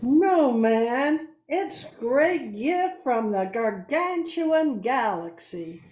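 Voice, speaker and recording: Cool hippie reindeer played by a woman, very slow drawl, friendly, amateur radio drama in an echoey room. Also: high voice, lively intonation, a little mellow, heavily acted. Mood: mellow. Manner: drawling slang. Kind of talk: amateur radio play acting.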